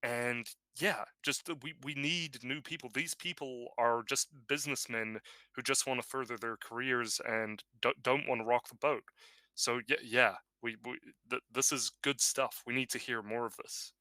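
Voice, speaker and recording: A man co-hosting a podcast, speaking haltingly with frequent restarts. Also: medium pitch, fairly even intonation, sharp tone, no room sound. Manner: halting, many restarts and fillers. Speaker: podcast co-host. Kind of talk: casual political chat.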